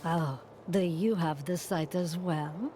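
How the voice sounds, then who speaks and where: Low-voiced, knowing mystic seer. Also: female voice, slow, dark tone, faint music behind. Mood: knowing, teasing. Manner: portentous, knowing. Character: knowing, motherly mystic seer woman, gently teasing. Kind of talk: fantasy video game acting.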